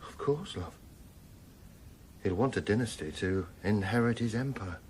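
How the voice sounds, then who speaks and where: An actor playing a sardonic, guarded police detective in a radio crime drama, with a dry manner. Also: male voice, fairly low pitch, animated, a little slow, a little mellow, a little hiss or hum. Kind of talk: 1990s radio drama acting.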